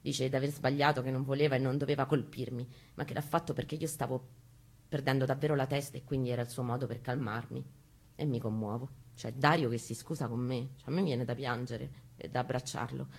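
A woman's voice: a bass voice, fairly even intonation, a little fast, breathy edge. A woman reading a first-person narrative aloud in an intense, theatrical, emphatic way. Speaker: stage reader and narrator. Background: music accompaniment, guitar.

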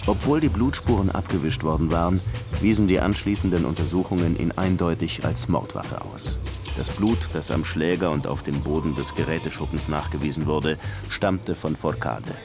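Low voiced cop narrating his case, somber and measured, crime radio play, loud music underneath. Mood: sober, factual. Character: male police detective recounting a murder case, somber. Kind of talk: first-person crime radio drama narration.

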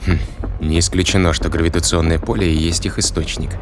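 A man's voice: low voice, little pitch movement, brisk, over music, lightly acted. An actor playing a skeptical man on a spaceship, musing and humming as he reasons to himself in an audio drama. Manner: musing, muttering hesitant hums.

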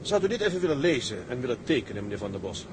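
An actor playing a shrewd, unflappable tugboat captain with sly humour in a Dutch sea drama, measured and dry. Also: male voice, medium pitch, sing-song, a little hiss or hum, close to an everyday voice. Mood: official, coolly firm.

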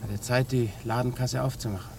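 An actor playing a resigned small-time burglar confessing to police in a terse, matter-of-fact way, in a German crime radio drama. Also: male voice, medium pitch, fairly even intonation, a little fast, creaky, darkish tone, lightly acted. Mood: matter-of-fact confession. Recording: noisy old radio drama recording.

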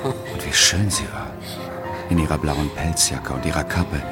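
A man narrating a German radio play as a solemn, measured literary storyteller, quietly enchanted by what he observes. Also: extremely low pitch, loud music behind the voice. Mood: admiring.

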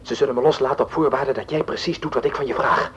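An actor as a male captive in a radio thriller. He speaks urgently and deliberately, pleading to be obeyed, at a medium pitch. The recording is dull, with faint noise. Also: steady tone, fairly bright tone, natural voice. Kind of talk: radio drama acting. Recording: dull telephone scene, noisy old recording.

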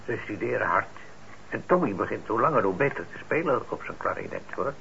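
Unflappable old shopkeeper, creaky, lively pitch. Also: male voice, brisk, full character voice. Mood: patient. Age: older. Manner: patient, hesitant, soft-hearted. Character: mild, unflappable old music shopkeeper and father. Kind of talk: Dutch radio play acting. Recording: dull, noisy old radio recording.

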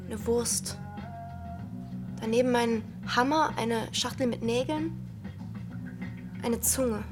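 A woman's voice: a little high, slow pace, over music. A young actress playing a restless, brooding village girl in a radio drama, speaking in intense, fragmented phrases. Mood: dreamy, haunted.

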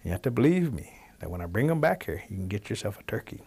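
A man's voice: on the low side, big pitch swings, fast talker, a little mellow, husky, exaggerated voice. A man voicing a sly, scrawny trickster rabbit in an oral folktale, coaxing and bossy with emphatic delivery. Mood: bossy, assuring.

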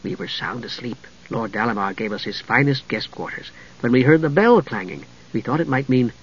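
A male narrator voicing a charming young woman who speaks in a hushed, soft way. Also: on the high side, huge pitch swings, normal speed, gravelly, slightly rough, far from the mic, lo-fi sound, heavily acted.

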